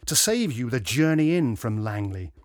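A man's voice: medium pitch, very animated, moderate pace, dry recording, clean studio sound, lightly acted. A man voicing a female receptionist who is polite and firm, holding back irritation, in a narrated story. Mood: politely firm. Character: patient but irritated female hospital receptionist.

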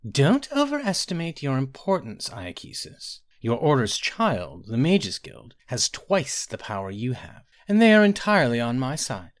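A man's voice: medium pitch, wildly swooping pitch, moderate pace, few pauses. A man voicing a scheming royal mother in a fantasy storybook, imperious and breezy in manner. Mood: haughty.

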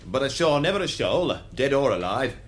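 A man's voice: mid-range voice, sing-song, normal speed, lightly acted. A man acting as a plain, deferential country gamekeeper in a radio mystery. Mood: respectful, plain. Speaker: actor in a radio drama.

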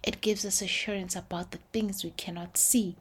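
A woman speaks in a hushed, gentle voice with a breathy, slightly husky grain. She hosts a reflective faith podcast, talking slowly and intimately as she moves between English and Sesotho phrases. Her pitch sits in a middle range for a woman. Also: steady tone. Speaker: podcast host.

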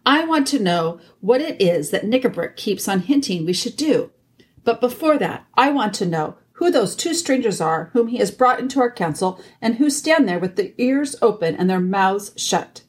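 A woman's voice: mid-range voice, expressive, normal speed, husky, voice pushed up. Woman as a stern young king, raised voice. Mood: exasperated.

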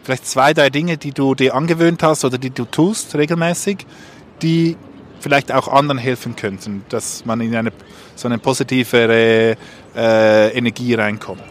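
A man's voice: mid-range voice, steady tone, normal speed, slight background noise. A man hosting a podcast in a casual, informal way, phrasing his questions and remarks a little hesitantly. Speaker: podcast host and street interviewer. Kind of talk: casual street interview and podcast chat.

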